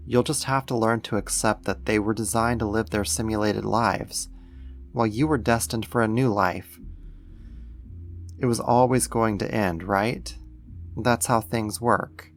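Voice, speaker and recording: Guy doing asmr alien owner cooing over his human pet, lowish voice, soothing n possessive, music behind. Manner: soothing, affectionate, gently possessive. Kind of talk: ASMR roleplay.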